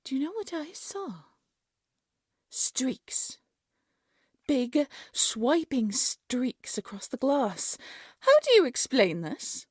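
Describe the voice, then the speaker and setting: Cruel fairy-tale queen, dramatic swooping pitch, breathy tone, close dry sound, imperious, manipulative, theatrical audio roleplay. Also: big pitch swings, slow pace, a little mellow, dry recording, exaggerated voice. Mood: scornful, indignant. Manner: imperious, scolding, condescending, theatrical. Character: haughty, cruel, manipulative evil queen stepmother.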